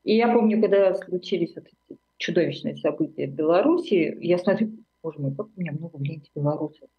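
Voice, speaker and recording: A woman's voice: on the low side, animated, quick pace, dull audio. A middle-aged woman from Armenia, a writer speaking as an interview guest in a reflective, searching way, full of fillers and self-corrections.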